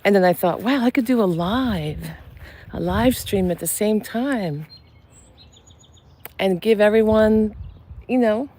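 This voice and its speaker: A soft-spoken woman, a psychic medium, chatting gently in a live stream while she walks through a cemetery among blossoming cherry trees. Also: medium pitch, slow, pauses often. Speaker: psychic medium and channel host. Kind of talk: casual live-stream chat while walking. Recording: outdoor live stream with clear ambient noise. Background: birds and passing traffic.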